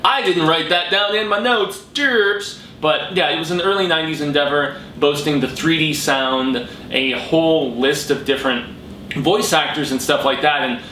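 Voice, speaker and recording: An American man talking casually as a vlog host, excitable, emphatic and rambling, with plenty of slang. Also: normal speed. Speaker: host of a horror fiction review channel. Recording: roomy echo, background noise and rumble.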